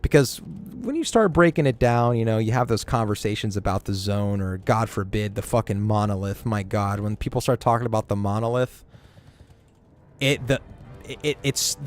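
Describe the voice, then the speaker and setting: A man streaming a game, chatting casually and giving rambling, opinionated takes with plenty of swearing. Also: fairly low pitch. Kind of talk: casual gaming stream chat.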